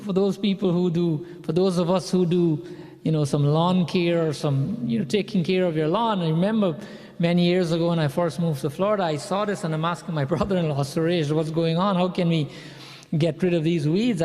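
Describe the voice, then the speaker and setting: A man's voice: high voice, mellow, big-room sound. A man from Guyana leading a satsang, preaching in a conversational way with personal stories and frequent restarts. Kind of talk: sermon.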